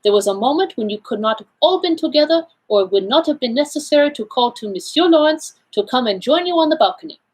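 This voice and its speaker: A woman voicing a courteous, self-assured Belgian male detective in an audiobook, speaking in a deliberate, formal, explanatory way. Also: high voice, animated, slightly rough, voice pushed up. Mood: calm, assured. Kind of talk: audiobook character dialogue.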